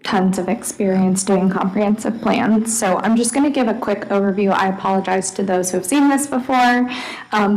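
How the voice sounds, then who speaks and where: Soft-spoken woman presenting a plan. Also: medium pitch, rough recording. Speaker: planning consultant. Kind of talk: presentation to a board.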